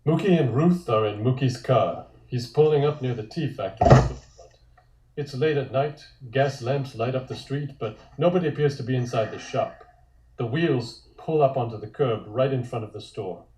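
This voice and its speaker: Mellow-voiced man narrating, echoey room. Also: mid-range voice, moderate pace. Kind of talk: reading comic panel directions at a table read.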